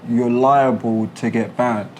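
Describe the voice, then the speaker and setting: A man with a London accent chats candidly as an interviewee. His talk is colloquial and slangy, and he likes to explain how things work. His pitch sits in the middle, and a little noise and room ambience sit behind him. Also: fairly even intonation, slow. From London, England. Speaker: interviewee, British expat. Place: a condo apartment.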